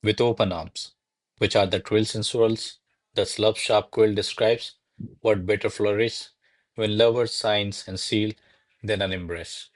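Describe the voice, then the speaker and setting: Courtly low-voiced servant, acted by a man. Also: fairly even intonation, unhurried, no echo, natural voice. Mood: ardent. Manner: formal, florid, deferential. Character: courtly, smooth-talking male servant, ardent and deferential. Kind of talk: stage-style radio play acting of classical verse.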